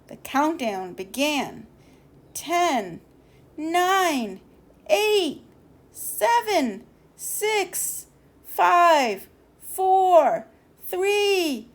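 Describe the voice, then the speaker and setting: A woman with a fairly high voice reads a children's picture book aloud. Her delivery is expressive and deliberate, leaning on key words for drama, and she occasionally trips over a word.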